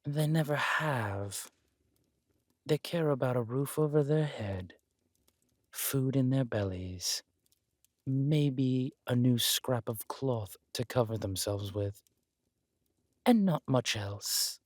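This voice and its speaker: Man acting a slow, husky, sly villain king. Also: medium pitch, a little mellow, dry recording, clean studio sound. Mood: conspiratorial, cynical. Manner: teasing, haughty, deliberate with drawn-out words. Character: villainous conqueror king, sly, smug, flirtatious husband. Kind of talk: dramatic romantic roleplay audio.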